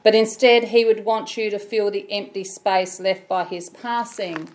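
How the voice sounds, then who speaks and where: An Australian woman with a loud voice, leading a funeral service as celebrant in a measured, solemn way. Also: mid-range voice, steady tone. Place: funeral chapel.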